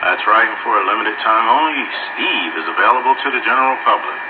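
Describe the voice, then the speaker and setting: A man's voice: fairly low pitch, lively intonation, quick pace, narrow, tinny audio. A man reciting a memorized commercial in announcer-like sales patter over two-way radio. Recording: very noisy, echoey band-limited radio.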